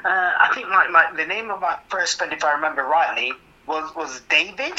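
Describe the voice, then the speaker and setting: An older man from Jamaica, recalling his past in an interview with a hesitant manner, often repeating words and chuckling softly. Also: a little high, moderate pace, lo-fi sound. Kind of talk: reminiscing interview.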